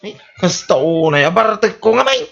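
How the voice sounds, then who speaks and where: Man acting a whining, embarrassed braggart son, swinging pitch. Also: expressive, moderate pace, gravelly, highs cut off. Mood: wailing, aggrieved. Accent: Filipino, Ilocano. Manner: exaggerated, wailing complaints to his mother. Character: boastful drunkard son, embarrassed, whining and indignant at pranksters. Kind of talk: comedy radio drama acting.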